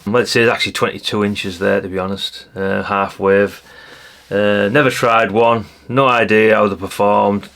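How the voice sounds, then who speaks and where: Low, creaky-voiced Englishman chatting casually. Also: fairly bright tone. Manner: rambling, matter-of-fact, colloquial. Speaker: hobbyist presenter. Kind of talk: casual unscripted show-and-tell commentary.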